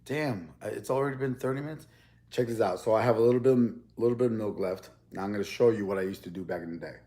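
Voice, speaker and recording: A man's voice: fairly low pitch, fast, mellow. Rambling, easygoing man chatting while eating. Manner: rambling, loose, with many restarts. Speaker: mukbang YouTuber. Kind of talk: casual chat while eating on camera.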